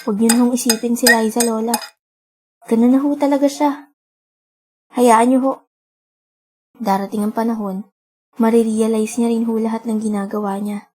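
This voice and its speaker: A woman playing a gentle, caring teenage girl in a radio drama, earnest and politely respectful. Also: on the high side, flat delivery, moderate pace, long pauses, husky. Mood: soothing. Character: gentle, caring, studious teenage twin sister. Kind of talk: dramatic radio play acting. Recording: music behind the voice.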